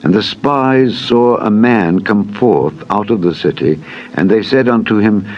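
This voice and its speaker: A man's voice: lowish voice, measured pace, dull audio, a little hiss or hum. A man narrating a dramatized Bible reading in a solemn, formal manner. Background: music.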